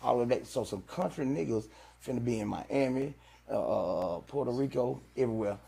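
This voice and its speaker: A man's voice: mid-range voice, lively intonation, normal speed, gravelly, mellow, breathy edge. A man from Louisiana, a rapper chatting casually on a podcast and tagging "you feel me" onto nearly every line. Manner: laid-back, repeats "you feel me" constantly. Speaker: rapper guest.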